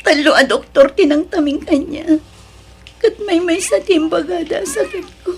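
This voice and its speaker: A sorrowful, worn-down wife in an audio drama, acted by a woman. Her voice is high and plaintive, often close to tears, with a pleading manner. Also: moderate pace, darkish tone, a little hiss or hum. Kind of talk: Ilocano audio drama acting.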